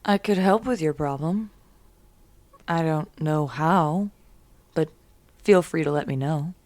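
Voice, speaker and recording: An actress playing a guarded, weary young vigilante superhero in an audio drama, speaking in a subdued, deliberate way. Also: female voice, deep voice, a little mellow, dry recording. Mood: guarded, hesitant.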